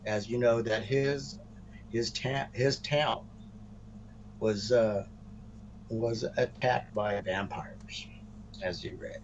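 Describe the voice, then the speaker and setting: Halting man in a muffled, noisy recording. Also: medium pitch, steady tone. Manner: halting, repeats words and restarts phrases. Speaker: co-host of a wrestling podcast. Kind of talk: casual wrestling storyline banter.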